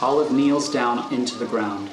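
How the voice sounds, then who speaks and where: A man's voice: mid-range voice, moderate pace, noisy background. A man reading scripted screenplay narration as the narrator of a thriller. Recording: roomy recording over faint music.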